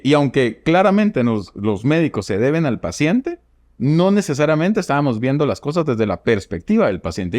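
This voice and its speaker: Guatemalan guy, surgeon on a podcast, talks slow n thoughtful w lots of ums, pitch moves around a lot, dry close sound. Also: a little mellow, dry recording. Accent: Guatemalan Spanish. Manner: reflective, measured, with frequent fillers. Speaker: surgeon guest. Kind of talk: podcast interview.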